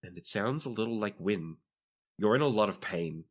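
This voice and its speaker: Low-voiced man narrating as game master. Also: animated, normal speed. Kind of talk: narrating a tabletop roleplaying game.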